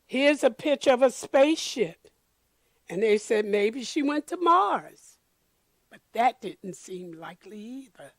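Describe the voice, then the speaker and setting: Lady doing story time for little kids, high voice, takes it really slow, close dry sound. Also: lively intonation, dry recording. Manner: deliberate, addresses children and explains pictures. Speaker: storyteller reading a children's picture book. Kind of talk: expressive read-aloud for young children.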